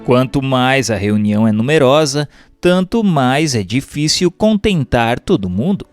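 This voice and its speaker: Slow, expressive Brazilian man narrating over music. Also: medium pitch. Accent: Brazilian Portuguese. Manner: formal, measured, expressive phrasing. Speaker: reader of a Spiritist text. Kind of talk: scripted audiobook-style narration. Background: background music.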